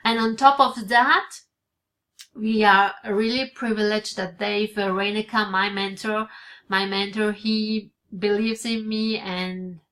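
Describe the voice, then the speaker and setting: A woman's voice: mid-range voice, flat delivery, takes it slowly, a bit bright. A woman in her forties, Italian by birth and raised in Switzerland, speaking English with an Italian accent. She talks to a webcam from her home office, hesitant, with many fillers and restarts. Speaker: vlogger. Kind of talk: casual vlog to camera. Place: home office with bookshelves, indoors. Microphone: webcam at desk.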